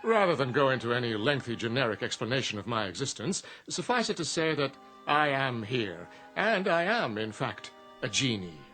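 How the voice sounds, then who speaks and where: A man's voice: mid-range voice, sing-song, normal speed, few pauses, a bit bright, soft background music. A man acting in a radio drama as an urbane, polite genie who talks in formal, precise sales patter. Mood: smooth, formal. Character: urbane genie in a business suit, polite and matter-of-fact.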